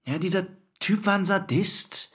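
A man's voice: high-pitched, a little slow, fairly bright tone, breathy edge, heavily acted. An actor playing a defiant, boastful young man, a restless dropout, talking colloquially and insistently in a radio drama. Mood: indignant. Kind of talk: German radio play acting, monologue and dialogue.

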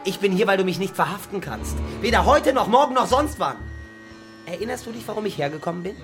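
A man's voice: sits high, lively intonation, fast talker, music in the background. An actor playing a bitter young man in a radio crime drama, taunting and threatening his father with contemptuous swearing. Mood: defiant, furious.

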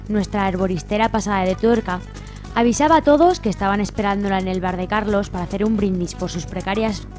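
A woman's voice: medium pitch, darkish tone. Female radio drama narrator over music. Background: music behind the voice.

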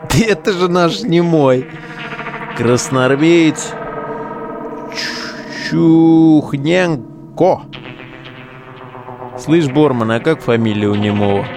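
An actor in a modern audio drama playing a foul-mouthed, mocking relic digger who talks in coarse slang. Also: male voice, fairly high pitch, takes it slowly, long pauses, over music. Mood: jeering amusement. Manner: slangy, coarse, jeering banter.

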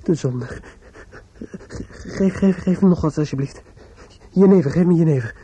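An actor playing a desperate, distraught young man in a radio play, muttering in a halting, repetitive, obsessive way. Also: a little high, mellow, dull audio, a little hiss or hum, lightly acted. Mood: agitated, pleading.

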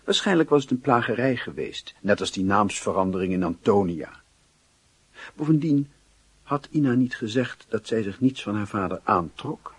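Very husky, low-voiced male narrator. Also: lively intonation, normal speed, no room sound. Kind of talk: scripted literary radio drama narration.

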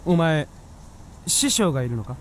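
A man acting as a stern but soft-hearted talking fox spirit in a radio drama, with a blunt, commanding way of speaking. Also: fairly high pitch, big pitch swings, normal speed, slight background noise. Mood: questioning.

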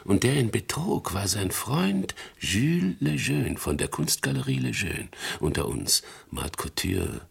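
An old antique dealer in a radio crime play, very deep and full of fry. He is courtly and gossipy, with a lively, insinuating delivery that flatters as it goes. The voice is very husky and breathy. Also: unhurried, hardly any pauses, full character voice. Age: older. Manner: courtly, insinuating, chuckling between phrases. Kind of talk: German radio crime drama acting.

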